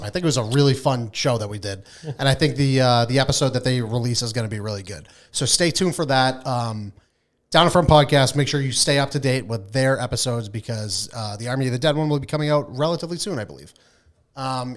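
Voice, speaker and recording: A man of middling pitch chats as a podcast co-host. His talk is casual and blunt, peppered with profanity.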